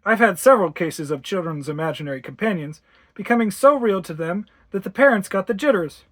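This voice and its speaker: Female reader as elderly gentleman physician in a story, kindly, professional, measured. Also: medium pitch, animated, close to an everyday voice. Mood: reassuring. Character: kindly, reassuring old male family doctor.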